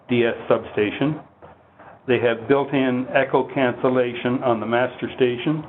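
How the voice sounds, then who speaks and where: Through a thin, phone-like connection with noticeable noise, a man delivers a technical training presentation. His voice sits at a middle pitch. He explains the product in a measured, instructional manner, as a trainer walking through slides. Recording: muffled phone-like line with heavy noise.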